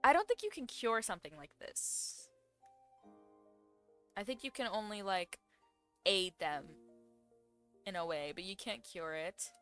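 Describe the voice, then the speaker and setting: A woman's voice: medium pitch, animated, measured pace, long pauses, pushed and bright. A young woman giving casual, informal, reactive commentary as she plays a game. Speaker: game streamer. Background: game music.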